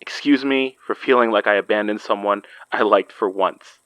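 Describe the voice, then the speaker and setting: An actor playing a caring, wry man in a horror audio drama, with halting, sincere, naturalistic delivery. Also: fairly low pitch, a bit bright, clean tone, no echo, close to an everyday voice, poor audio. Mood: apologetic. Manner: halting, sincere, dry humour. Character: caring, wry, apologetic ordinary man in supernatural trouble.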